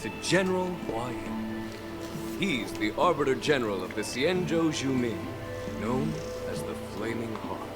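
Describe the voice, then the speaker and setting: Man as gracious general with lively intonation. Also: medium pitch, unhurried, lightly acted. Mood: formal. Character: genial, gracious male general, calm and welcoming. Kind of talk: anime game voice acting. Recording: loud music behind dialogue.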